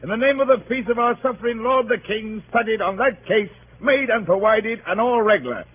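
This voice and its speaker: An actor playing a pompous, blunt law officer in a 1930s radio drama. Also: male voice, high voice, brisk, slightly rough. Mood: officious, blunt. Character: officious, gruff male law officer serving a writ. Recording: tinny, band-limited 1930s radio recording.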